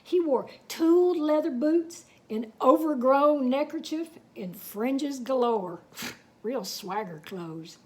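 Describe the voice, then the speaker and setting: A woman reads a children's story aloud. She takes her time, stretching words in a folksy, expressive way and speaking to her listeners directly. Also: sits high, slow pace. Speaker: storyteller reading a picture book aloud. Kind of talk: animated children's read-aloud with folksy asides.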